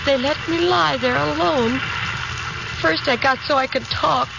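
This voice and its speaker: Lonely bitter old wife, high-pitched, creaky, unhinged, old radio drama, noisy recording. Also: female voice, measured pace, highs cut off, heavily acted. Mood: bitter, wounded. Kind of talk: 1950s radio drama acting.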